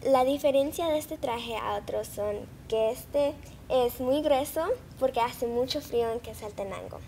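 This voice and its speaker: A young woman answers in short, shy replies on a studio set, her voice a bit high. A faint ambient hiss stays low behind her. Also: measured pace, creaky, warm tone. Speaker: guest. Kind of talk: interview answers.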